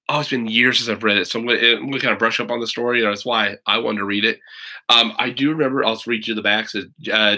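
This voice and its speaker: Man, forties, American accent, bright forceful voice, average pitch, casual teacher read-aloud style. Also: low-quality recording. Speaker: teacher and children's book read-aloud host. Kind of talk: casual chat and storybook reading aloud to children. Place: small room at home, indoors. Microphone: webcam or laptop mic at arm's length.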